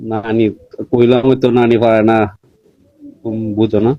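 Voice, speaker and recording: A man's voice: lowish voice, monotone, normal speed, lo-fi sound. An older man chatting casually as a caller on a video call. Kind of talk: casual chat.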